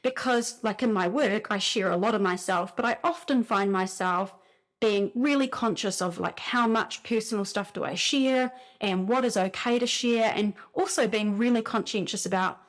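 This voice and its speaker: A woman with a New Zealand accent talks casually about spiritual signs, telling her stories with animated, rambling energy. Her voice sits at a middle pitch for a woman, and she fills her sentences with "like" as she goes. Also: normal speed. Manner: animated storytelling, frequent "like" fillers. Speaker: spiritual speaker.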